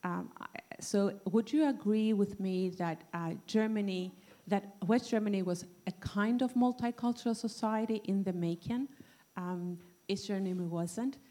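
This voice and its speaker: A woman from Greece putting a spontaneous question to a panel, speaking haltingly as she works out her point. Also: medium pitch, dark tone. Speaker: audience questioner at a panel discussion.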